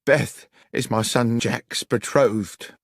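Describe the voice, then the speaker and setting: A man plays an old innkeeper, gruff and quick to anger, his voice raised a little. Dry and close. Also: medium pitch, steady tone, dry recording, pitched above the performer's own voice. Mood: agitated. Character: elderly male innkeeper, protective, quick-tempered.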